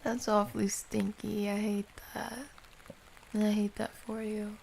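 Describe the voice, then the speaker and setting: A woman hosting an ASMR livestream, chatting casually and softly with viewers in a playful, affectionate, unhurried way, sometimes close to a whisper. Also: medium pitch, completely flat delivery, creaky, a little hiss or hum. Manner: soft-spoken, unhurried, drifting into whispers.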